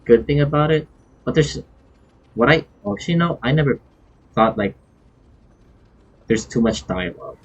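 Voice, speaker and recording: A man giving casual live-stream game commentary, thinking aloud in a halting, rambling way. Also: mid-range voice, lively intonation, husky. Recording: dull, lo-fi stream microphone.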